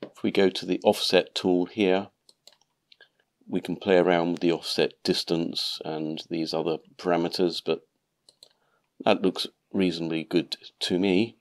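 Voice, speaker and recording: A man narrating a calm step-by-step tutorial, sounding nasal from a cold. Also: low-pitched, measured pace. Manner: calm, methodical explaining. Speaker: maker channel host.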